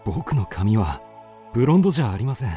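An actor playing an earnest, courteous Japanese suitor, speaking politely and intensely in a radio drama. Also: male voice, mid-range voice, sing-song, fairly quick, mellow, faint music behind, natural voice. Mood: tender, intense.

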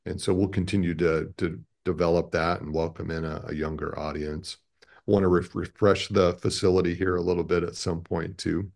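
A man in his fifties speaks in a low voice with a lot of fry in it. He answers interview questions conversationally and in a measured, hesitant way. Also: fairly even intonation, a little mellow. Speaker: radio station president, interview guest. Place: small indoor office, on a video call.

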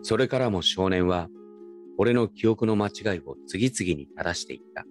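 A low-voiced man acting as a nostalgic grown grandson in a radio drama. He tells his story in a casual, reflective, wry way, with faint music behind him. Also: lively intonation, normal speed. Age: adult. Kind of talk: first-person radio drama narration and dialogue.

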